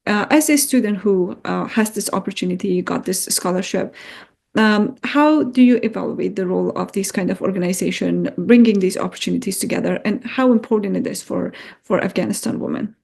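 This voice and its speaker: A woman interviewer asks long, halting questions full of ums and uhs. Her voice has a gravelly, creaky edge at a middle pitch. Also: darkish tone. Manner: halting, filler-heavy questions.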